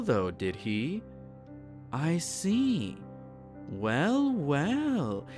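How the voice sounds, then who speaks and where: A man playing a courtly, possessive vampire lord in an intimate roleplay audio, teasing and formal, savouring his phrases. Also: fairly high pitch. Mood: curious, probing. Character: possessive, courtly male vampire lord doting on captive pet. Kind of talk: intimate roleplay audio acting, partly whispered.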